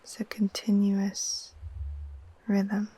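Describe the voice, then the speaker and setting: A woman gently guiding a sleep meditation in a soft, breathy, half-whispered voice, soothing and unhurried. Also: mid-range voice. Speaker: yoga nidra guide. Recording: faint steady wash of ocean waves.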